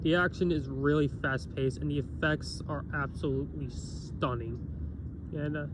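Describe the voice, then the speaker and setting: A fairly high-voiced man who sounds nasal and has a cough. He rambles through a casual review, restarting and correcting himself constantly. Street noise and passing vehicles fill the background. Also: steady tone, moderate pace.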